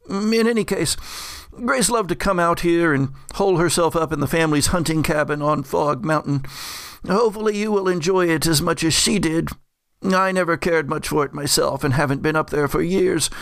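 A man's voice: a little high, gravelly, a bit bright, no room sound. A man playing a dying rich old man who rambles through his will with dry, blunt asides.

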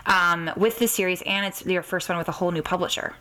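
Female podcast host. Slightly low pitch, very bright tone, casual interviewer delivery. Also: fairly even intonation, fast talker.

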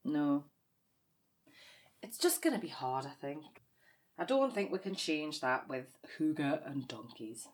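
Grieving sister, wry and dramatic, dark humour, very varied intonation, audio drama. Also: female voice, deep voice, animated, slow pace, long pauses. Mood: subdued, rueful. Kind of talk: naturalistic audio drama acting, comedy and pathos.

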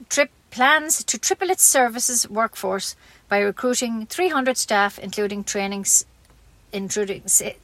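A dry, close recording of an Irish woman reading community radio news. Her voice sits a little high, and she delivers scripted bulletins as a local newsreader. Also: fairly bright tone, no room sound.

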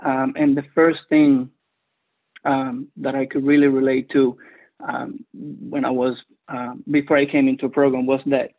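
A man from Cuba with a Cuban Spanish accent, Spanish his first language, giving an earnest personal testimony at a recovery meeting with many hesitant pauses. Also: medium pitch, flat delivery. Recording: tinny phone-line audio.

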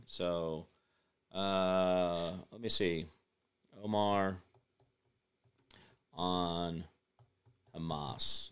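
A man's voice: medium pitch, bright, forceful tone. A man hosting an online political commentary show, rambling and scornful, trailing off and restarting, reading viewer comments aloud and replying to them. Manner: stumbles over names and repeats them to get them right. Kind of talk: unscripted political commentary monologue.

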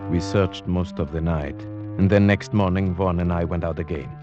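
An actor playing a grave, thoughtful Slavic hunter who narrates a 1950s radio drama in a measured, formal way. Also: male voice, sits low, fairly even intonation, lightly acted. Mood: brooding narration. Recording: dull vintage 1950s radio recording, music behind.

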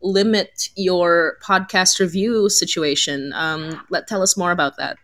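A woman's voice: fairly low pitch, fairly even intonation, a little slow, fairly bright tone. A woman hosting a podcast in casual chat, informal and often searching for her words. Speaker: podcast host and interviewer.

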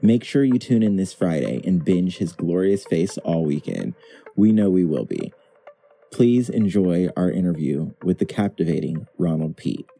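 A man's voice: low-pitched, very animated, moderate pace, creaky, warm tone, husky, soft background music. A man hosting a podcast, reading a scripted introduction in a playful, enthusiastic way. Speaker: podcast host.

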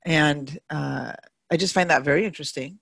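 A woman's voice: low voice, moderate pace, gravelly, fairly bright tone, no echo, clean studio sound. A woman hosting a podcast, chatty and enthusiastic, using casual slang. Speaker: podcast host.

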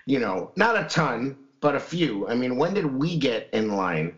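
A man with a hoarse, scratchy voice after losing it, co-hosting a casual chat show and rambling with many restarts. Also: mid-range voice, sing-song, a little slow, fairly bright tone, dull audio. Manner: rambling, lots of fillers and restarts. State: hoarse, recovering lost voice. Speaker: podcast co-host.

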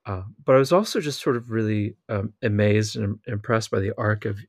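A man co-hosting a podcast interview, halting and teasing, often restarting his sentences. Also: lowish voice, no echo. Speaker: podcast co-host. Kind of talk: casual interview.

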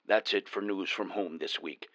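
Casting: man as a gruff, chatty dwarf news reporter with a lilt, very deep gravelly voice, crisp and bright. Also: steady tone, normal speed, dry recording. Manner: chatty, wry storyteller. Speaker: remote correspondent.

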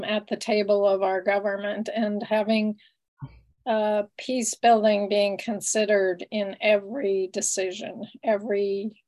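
A woman sharing her view in a group discussion, pausing with fillers as she thinks. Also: mid-range voice, rough recording. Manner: thoughtful, with frequent fillers. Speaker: meeting participant.

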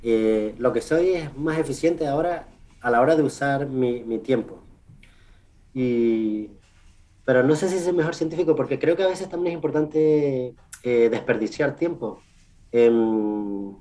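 A man with a Canarian Spanish accent giving casual interview answers as a research scientist, in an informal, halting way with self-corrections and tag questions. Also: medium pitch, a little mellow. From Canary Islands, Spain. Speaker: research scientist, podcast guest.

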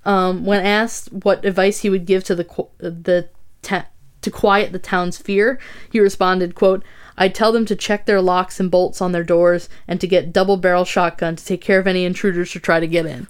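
Brief young woman host, smooth clear voice, loose chatty narration, cusses casually. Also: lowish voice, little pitch movement, normal speed. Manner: swears casually, reads notes aloud with asides. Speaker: podcast host telling a true crime story. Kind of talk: casual storytelling chat, reading notes.

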